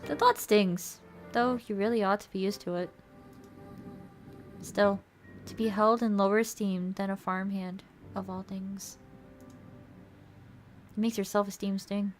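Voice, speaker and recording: A woman's voice: medium pitch, steady tone, measured pace, long pauses. A woman streamer who narrates a visual novel aloud and chats casually with viewers. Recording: music playing behind the voice. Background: music.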